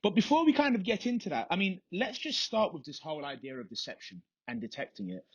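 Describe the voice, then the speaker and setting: Husky lively-voiced podcast host. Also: male voice, sits high, quick pace. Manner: rambling questions with restarts. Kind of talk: interview hosting. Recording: dull, muffled lo-fi audio.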